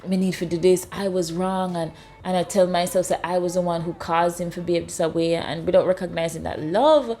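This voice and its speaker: Animated Jamaican woman in her thirties. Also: fairly quick. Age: 30s. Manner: animated storyteller, acts out dialogue in high voices. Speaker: host. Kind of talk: casual chat.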